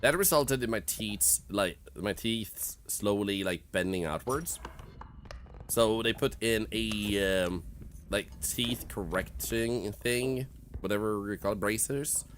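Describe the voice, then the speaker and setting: A man's voice: on the low side, takes it slowly, a bit bright, a little hiss or hum. A young man with a Swedish accent, chatting candidly on a game stream in a reflective, rambling way full of fillers. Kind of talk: casual gaming stream chat.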